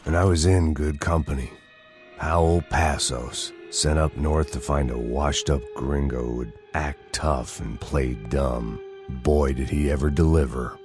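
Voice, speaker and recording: An actor playing a jaded, self-loathing middle-aged American ex-cop, narrating a video game in a sardonic hard-boiled noir voice-over. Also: male voice, rumbling low, fairly even intonation, unhurried. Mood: weary, sardonic. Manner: world-weary, sardonic, dry. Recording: loud music under the voice. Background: music.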